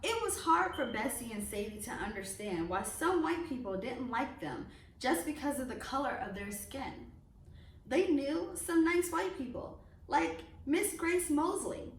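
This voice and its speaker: A woman reading a children's picture book aloud as a storyteller, expressively and with deliberate emphasis on phrases. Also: high-pitched, lively intonation. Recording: roomy echo, distant mic, faint noise.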